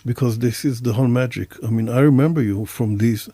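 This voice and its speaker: A man's voice: medium pitch, a little fast, dark tone, husky, no room sound. A man with an Israeli accent talking casually and reflectively as an interview host, circling his point in hesitant, roundabout phrases. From Israel and the United States.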